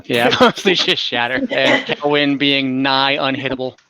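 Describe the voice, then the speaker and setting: A man narrating an online tabletop game as game master in a casual, halting way, often searching for words. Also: mid-range voice, pushed and bright, clear, resonant tone, dry recording.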